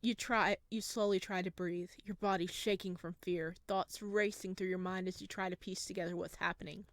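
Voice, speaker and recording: A woman's voice: fairly low pitch, normal speed, no room sound. A woman gaming YouTuber who reads a horror visual novel aloud expressively and then reacts to it. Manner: expressive, animated reader.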